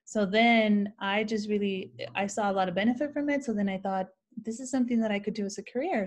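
A woman's voice: mid-range voice, a little mellow. A woman teacher who grew up in Ciudad Juarez and whose first language is Spanish, talking in a casual interview with rambling, self-correcting storytelling. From Mexico-US border, Ciudad Juarez. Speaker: teacher, guest.

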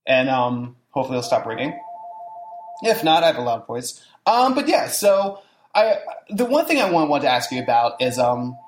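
A young adult podcast host in casual chat, lively and full of "like", slipping into mocking quoted voices. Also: mid-range voice, moderate pace, slight background noise. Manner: animated, says "like" a lot, does mocking quoted voices. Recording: echoey room.

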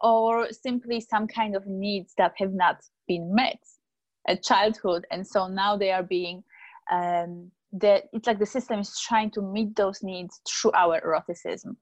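A woman's voice: mid-range voice, steady tone, unhurried, no room sound. A woman from Poland speaking about her work as a guest, in earnest, flowing answers. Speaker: tantra teacher and guest. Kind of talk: conversational interview answers.

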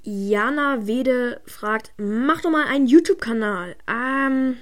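Brief: German woman, higher voice, unhurried and halting, slangy Q&A vlogger. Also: expressive, fairly bright tone. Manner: frequent stammers and restarts, slangy. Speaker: video creator answering viewer questions. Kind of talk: casual Q&A chat.

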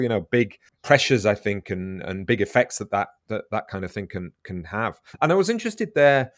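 A man's voice: mid-range voice, dry recording, studio quality. A man hosting an interview, talking in a thoughtful, rambling way and repeating words as he thinks. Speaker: podcast host, interviewer. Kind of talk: casual interview chat.

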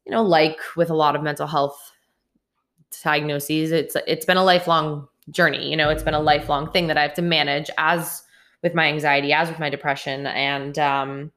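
A woman in her late twenties hosts a podcast alone. Her voice sits low, and she speaks earnestly and openly, sometimes restarting a phrase. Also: flat delivery, normal speed, fairly bright tone. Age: 20s. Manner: candid, earnest, with some restarts. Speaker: podcast host. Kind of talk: solo podcast monologue.

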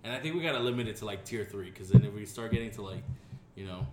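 A man's voice: on the low side, lively intonation, quick pace. A man co-hosting a casual podcast, joking and swearing, talking loosely with lots of fillers. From Dominican family. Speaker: podcast co-host. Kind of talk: casual chat. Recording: echoey room with faint hiss.

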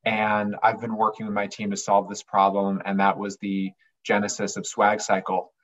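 Man, interview guest, low steady voice, monotone, earnest, muffled lo-fi sound. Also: moderate pace. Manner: earnest, explanatory, occasional restarts. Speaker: business owner, interview guest. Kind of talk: conversational interview.

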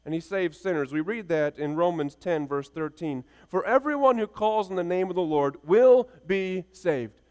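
A man's voice: high-pitched, lively intonation, normal speed, hardly any pauses, darkish tone, clear, resonant tone. A man in his thirties preaching a sermon as a pastor, with emphatic, rhythmic repeated phrases. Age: 30s. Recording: reverberant hall sound.

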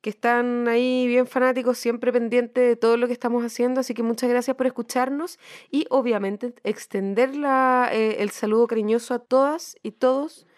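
A woman's voice: fairly high pitch, fairly even intonation, moderate pace. A woman with a Chilean accent co-hosting a podcast in a casual, colloquial, slangy chat. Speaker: podcast co-host.

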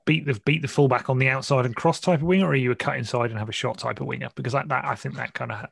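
Man, chatty discussion host, creaky voice, dry close sound, self-deprecating, conversational. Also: mid-range voice, fairly even intonation, rapid-fire, dry recording. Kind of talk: casual conversational interview.

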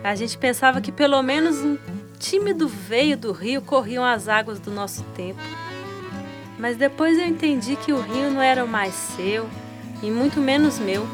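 High-voiced Brazilian retired woman detective, acted by a woman, over music. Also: animated, moderate pace, natural voice. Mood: sorrowful. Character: bitter, longing 51-year-old retired woman detective. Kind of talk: radio drama acting.

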